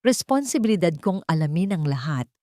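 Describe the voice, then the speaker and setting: A woman's voice: fairly low pitch, sing-song, fairly quick, warm tone, no room sound, clean studio sound. A woman reading a calculating woman therapist. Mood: feigned concern. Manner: carefully professional, feigned concern. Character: calculating female therapist, smooth and insinuating.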